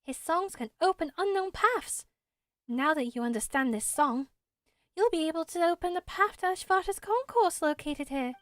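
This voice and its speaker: Casting: earnest little woodland creature guide, done by a woman, high friendly voice, dry close recording, fantasy game. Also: animated, moderate pace, fairly bright tone, no room sound. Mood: friendly, explaining. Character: small, friendly, earnest forest spirit, a helpful guide. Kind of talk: amateur video game voice acting.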